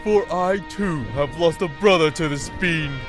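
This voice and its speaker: A man's voice: a little high, normal speed, over music, close to an everyday voice. An actor playing a stern swordsman of a thieving troupe, declaiming in mock-Shakespearean style for a game fandub. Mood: solemn, vengeful.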